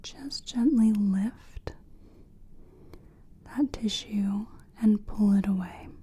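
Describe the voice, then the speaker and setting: A woman giving a soothing, gentle ASMR personal attention roleplay in a breathy whisper, speaking deliberately. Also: mid-range voice. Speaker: ASMR creator playing a soul-cleansing practitioner.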